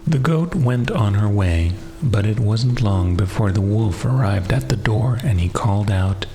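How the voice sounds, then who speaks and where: Male storyteller for bedtime fairy-tale audiobook: lowish pitch, scripted narration over faint music. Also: expressive, measured pace, mellow.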